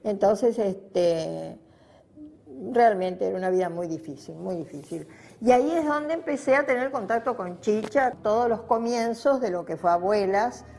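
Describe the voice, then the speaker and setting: Older Argentine woman, mellow voice. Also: mid-range voice, expressive, normal speed, creaky. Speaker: interviewee giving personal testimony. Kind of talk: personal testimony, spontaneous recollection.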